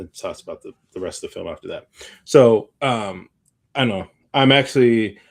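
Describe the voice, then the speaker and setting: A man co-hosting a podcast in casual chat, with a halting, meandering, slangy way of talking. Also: on the low side. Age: adult, parent of young child. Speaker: podcast co-host.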